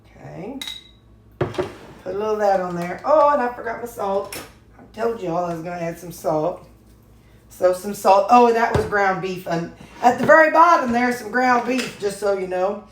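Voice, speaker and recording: A woman's voice: mid-range voice, normal speed. A woman talks her viewers through a meal in her home kitchen, chatty and folksy. The kitchen gives her voice a roomy echo, and a little noise hums in the background. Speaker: vlogger. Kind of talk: casual cooking vlog chat. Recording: echoey kitchen with faint noise.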